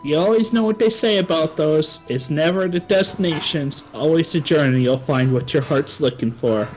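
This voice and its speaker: A woman's voice: low voice, moderate pace, a little mellow, faint music behind, natural voice, poor audio. A game master voicing a kindly old female dwarf herbalist who runs a shop, doting and rambling.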